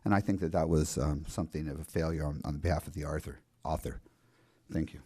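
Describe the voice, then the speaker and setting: A man speaking at a city council meeting in an earnest, halting way with many restarts. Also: extremely low pitch, steady tone, warm tone, husky. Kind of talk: public meeting remarks.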